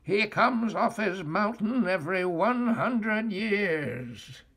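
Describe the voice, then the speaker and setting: A man's voice: high-pitched, expressive, takes it slowly, a bit bright, husky, pitched above the performer's own voice, full character voice. A man voicing a feisty, indignant old witch in a storybook, exclaiming and drawing out words. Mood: annoyed, determined.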